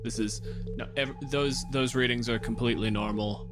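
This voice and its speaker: A man voicing a fussy, deferential bank employee who gives halting, reassuring technical explanations in a tabletop game. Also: mid-range voice, steady tone, music in the background, lightly acted. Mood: flustered reassurance.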